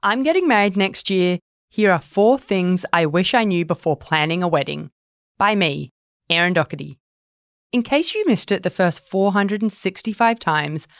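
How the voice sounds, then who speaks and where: A woman's voice: medium pitch, no echo, clean studio sound. A woman reading her own first-person piece in a wry, self-deprecating way. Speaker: writer reading own article. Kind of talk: scripted first-person narration.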